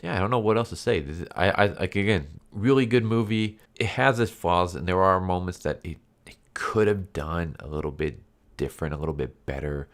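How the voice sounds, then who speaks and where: A man with an extremely deep voice talks casually to his viewers as an online reviewer. He sounds congested and nasal, as if his nose is blocked by a cold. His delivery is unscripted and rambling, often repeating and rephrasing his points. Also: fairly quick. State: sick with a stuffed nose and cough. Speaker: YouTube anime movie reviewer and channel host.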